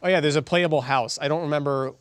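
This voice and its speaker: Higher-voiced man chatting. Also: steady tone, fast, clean tone, no room sound. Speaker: podcast co-host. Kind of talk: casual chat.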